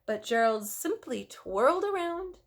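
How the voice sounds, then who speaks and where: A woman reading a picture book aloud to children, expressive, with questions and asides to the listener. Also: high-pitched, unhurried. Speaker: storyteller for children.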